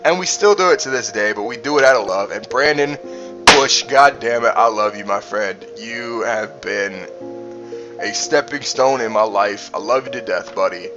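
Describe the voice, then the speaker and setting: A man's voice: lowish voice, moderate pace, dull audio. A man telling personal stories in a casual, rambling, joking way, swearing freely as a streamer does. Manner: heavy swearing, frequent "you know" and "man". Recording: music playing behind the voice. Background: background music.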